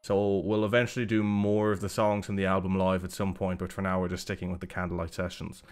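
Guy doing a reaction vid, lowish voice, rambles and cuts himself off a lot. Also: flat delivery, fast talker, soft background music. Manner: rambling, self-interrupting, critical. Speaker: music reaction video host. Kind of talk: casual reaction commentary.

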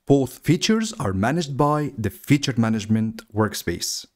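A man whose first language is Arabic, narrating a step-by-step software tutorial in a steady, explanatory way. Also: mid-range voice, unhurried. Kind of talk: screen-recorded software walkthrough.

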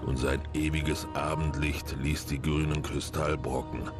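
A man's voice: extremely low pitch, level tone, unhurried, loud music behind the voice. A man reading scripted narration for a science-fiction audio drama.

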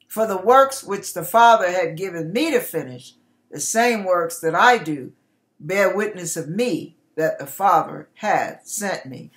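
A middle-aged woman reading Bible scripture aloud with deliberate, emphatic, dramatic phrasing. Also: mid-range voice, a bit bright. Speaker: online church minister reading scripture. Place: small indoor room with curtain.

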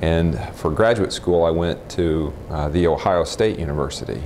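Very deep-voiced American man in his forties. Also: normal speed. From Texas, United States. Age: 40s. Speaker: interviewee, nuclear physicist. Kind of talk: informal oral history interview, recollecting. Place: room in a house, indoors. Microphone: lapel. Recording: clip-on mic with faint background noise.

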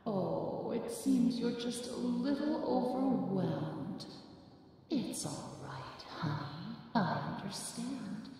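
An actress voicing a sadistic, sickly-sweet female cartoon angel villain with a gravelly edge, in an echoing hall. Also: a little high, animated, slow pace, mellow, soft background music. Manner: sickly-sweet, mocking, calls the listener honey. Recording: echoey reverberant hall, faint ambience.

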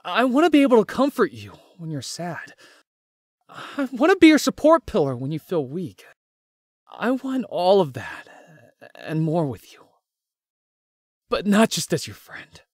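An actor voicing a devoted, earnest young man in an anime-style romance game, speaking haltingly. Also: high-pitched, wildly swooping pitch, no echo, clean studio sound, heavily acted. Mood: earnest, confessing. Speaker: voice actor in a visual novel.

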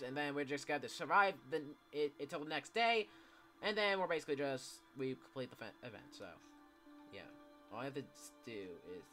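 A young man giving casual, excitable commentary while playing a video game, swearing often and yelling when chased. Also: medium pitch. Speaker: gameplay commentator. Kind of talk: casual live gameplay commentary.

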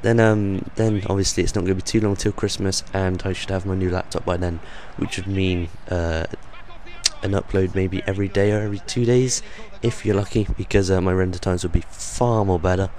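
A young man with a croaky voice hosting his gaming channel, rambling off the cuff with lots of ums and uhs over game footage. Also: sits low, steady tone, fairly quick, darkish tone, a little hiss or hum.

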